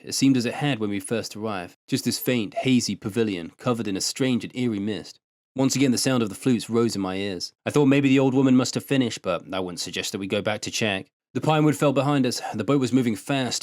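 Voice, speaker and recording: A man narrating a first-person short story in an expressive, conversational way. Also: fairly low pitch, no room sound, studio quality. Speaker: narrator and translator.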